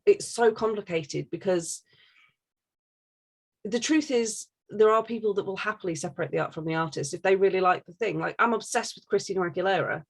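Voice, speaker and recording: A woman's voice: mid-range voice, fairly quick, vocal fry, breathy edge. A woman talking casually and candidly, giving her opinions emphatically and swearing freely.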